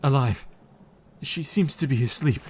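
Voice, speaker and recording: A man's voice: mid-range voice, a little slow, a little hiss or hum, close to an everyday voice. A man voicing a protective young husband in an audio drama, urgent and raw. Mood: shaken. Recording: music score behind the voice.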